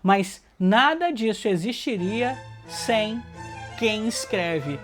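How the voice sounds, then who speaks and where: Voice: medium pitch, expressive, takes it slowly. A narrator with a Brazilian accent delivers reflective scripted narration in earnest, short, listed phrases. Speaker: book project organizer and narrator. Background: background music.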